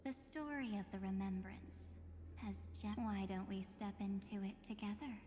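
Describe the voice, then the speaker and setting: An actress playing a cryptic, composed keeper of memories in a video game, telling her tale in a measured, theatrical way. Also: mid-range voice, dark tone, big-room sound, slight background noise, close to an everyday voice. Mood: grave, knowing. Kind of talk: video game voice acting, storytelling. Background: game music.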